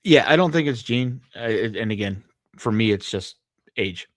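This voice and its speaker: A man with a fairly low voice speaks as a podcast co-host. His casual talk is measured and hesitant, with careful qualifications, captured in a dry, close-sounding recording. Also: expressive, no echo. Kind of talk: casual chat about comics and films.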